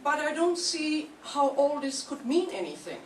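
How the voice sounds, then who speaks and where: An older woman playing a genteel, fretful housewife in an old radio mystery, theatrical and halting. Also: high voice, very animated. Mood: shocked. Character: genteel housewife hostess, fretful, suddenly widowed. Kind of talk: 1940s radio mystery acting. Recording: roomy, distant mic, faint noise.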